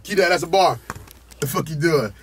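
A man's voice: fairly high pitch, normal speed. A man rapping in a studio recording booth into a condenser mic, playful and laughing between bars. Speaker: rapper. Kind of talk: freestyling in the studio. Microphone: studio condenser mic with headphones.

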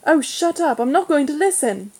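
A woman's voice: on the high side, lively intonation, fairly quick, dark tone, pitched above the performer's own voice. Woman playing a plaintive, spirited young girl. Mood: annoyed. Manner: plaintive, protesting. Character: spirited, sensitive young schoolgirl.

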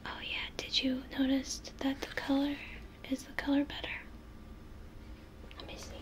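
A young woman doing an ASMR role play in a soothing, reassuring, breathy whisper, close to the microphone in a room. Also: high-pitched, monotone, normal speed. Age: young adult. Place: small indoor room.

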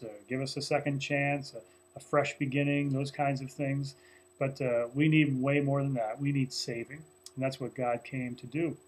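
Male pastor, 40s, husky, earnest and chatty, desk webcam in a small office. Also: mid-range voice, poor audio. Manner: earnest, conversational, frequent uh fillers. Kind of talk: short sermon recap to camera. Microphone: webcam at desk, close framing.

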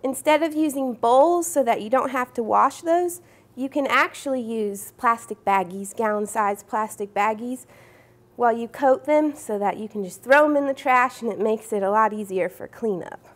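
Cooking show host: young woman, high voice, friendly step-by-step kitchen explaining. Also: normal speed. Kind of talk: cooking demonstration. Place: home-style studio kitchen.

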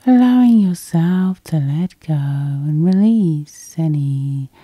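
Soft, breathy woman guiding sleep. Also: deep voice, lively intonation, no echo. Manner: soft, soothing, slow breathy half-whisper. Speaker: hypnosis guide, host. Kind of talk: whispered sleep hypnosis, ASMR guided meditation.

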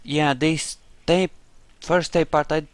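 A man's voice: on the high side, steady tone, slow pace, no room sound. A man giving casual gameplay commentary, wry and musing to himself as he plays. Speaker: gamer doing a playthrough.

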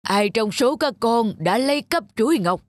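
An actor voicing a stern elderly male monk, a measured and authoritative temple master in a cartoon. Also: mid-range voice, lively intonation, dry recording, clean studio sound, natural voice. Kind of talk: animated fairy-tale dubbing.

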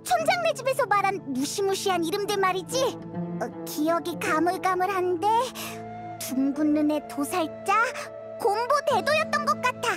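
A tiny fairy sidekick from a game, chirps in an extremely high, squeaky voice. The childlike, curious delivery bounces widely in pitch over music. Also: female voice, sing-song, moderate pace. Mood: surprised, wondering. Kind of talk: video game voice acting, cute cartoonish. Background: background music.

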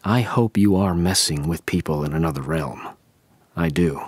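An actor playing a wistful middle-aged husband, talking softly and haltingly at a funeral in a radio play. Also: male voice, low-pitched, slightly rough, no room sound. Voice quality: soft, halting, musing. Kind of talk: radio drama monologue.

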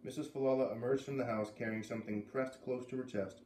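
A man in his thirties reading a story aloud with expressive narration, sitting indoors at a little distance from the camera. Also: medium pitch, fast. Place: living room indoors by a fireplace. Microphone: camera a few feet away. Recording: roomy camera sound, faint noise.

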